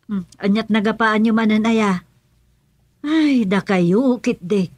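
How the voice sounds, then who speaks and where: A woman's voice: mid-range voice, a little slow, a little mellow, no room sound, natural voice. A woman playing a mother who fusses and scolds her daughter and husband. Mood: fussing. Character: older mother, fussing and scolding. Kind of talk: radio drama acting.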